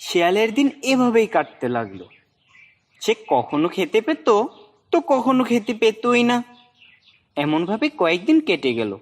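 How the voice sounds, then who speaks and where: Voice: mid-range voice, very animated, moderate pace, pauses often. A narrator reading a scripted children's animal story for a cartoon.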